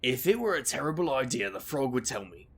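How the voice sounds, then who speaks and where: An actor playing a wry, reckless male paranormal investigator who tells his own tale in an audio drama, with a gravelly, sardonic delivery. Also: mid-range voice, big pitch swings, a little fast, fairly bright tone, slight background noise. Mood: wry narrating. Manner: wisecracking, casual, sardonic. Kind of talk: audio drama acting with noir-like first-person narration.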